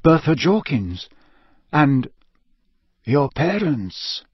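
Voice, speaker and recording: A man voicing an earnest, shaken teenage boy wizard who speaks in a halting, strained way. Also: on the high side, lively intonation, breathy edge, dry recording, muffled recording, pitched above the performer's own voice.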